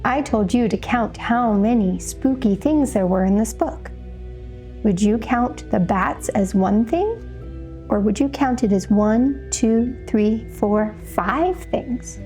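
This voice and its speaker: Mellow, high-voiced woman teaching children. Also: slow pace, soft background music. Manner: guiding, poses questions to young listeners. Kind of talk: instructional read-aloud for children.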